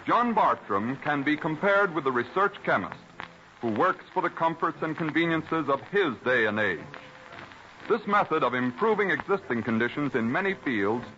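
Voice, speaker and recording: A man's voice: on the high side, lively intonation, sharp tone. A man with an American accent giving formal, scripted narration as a 1930s radio announcer. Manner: formal, measured delivery. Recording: dull, noisy 1930s radio recording.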